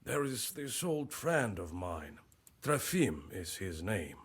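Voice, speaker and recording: A game villager, earnest, pleading, low husky tone. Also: male voice, sing-song, slow pace, exaggerated voice. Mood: worried. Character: troubled village man seeking a lost friend. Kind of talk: video game voice acting.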